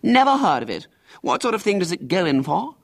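A man's voice: high-pitched, sing-song, fairly quick, creaky, voice pushed up, full character voice. Anthony Martin, a man voicing a pompous famous boy. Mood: imperious. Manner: boastful, haughty. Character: conceited famous young boy, pompous and self-important.

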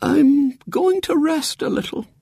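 A frail old great-aunt from a storybook. The voice is pitched high and sounds feeble. She is imperious and blunt as she sizes people up, recorded dry and close. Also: animated, a little slow, gravelly, dark tone, no echo, heavily acted. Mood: drowsy, commanding.

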